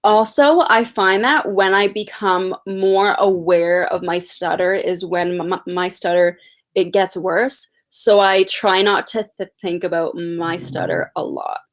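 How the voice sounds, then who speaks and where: A nineteen-year-old woman who stutters, chatting slowly and casually on a livestream as she answers viewer questions. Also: fairly low pitch, steady tone. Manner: stutters with repeated sounds and blocks. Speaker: livestream host.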